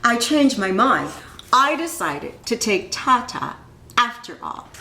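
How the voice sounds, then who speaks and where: Woman reading as an eager, lively young boy. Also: a little high, slow pace, slight background noise, voice pushed up, full character voice. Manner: eager, questioning. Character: young boy Ira, curious, persistent storybook narrator. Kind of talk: storybook character voice. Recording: echoey room.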